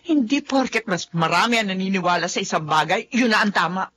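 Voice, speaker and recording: A woman's voice: mid-range voice, brisk, a bit bright, soft background music, lightly acted. A woman playing a stubborn mother who defends her love for a younger man, in a radio drama. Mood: defiant. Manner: defiant, stubborn.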